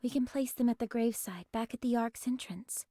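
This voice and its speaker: A woman playing a poised, reserved young squad soldier in a video game, speaking in a measured, polite, probing way. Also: on the high side, dark tone, dry recording, close to an everyday voice. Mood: quietly puzzled. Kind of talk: dramatic video game voice acting.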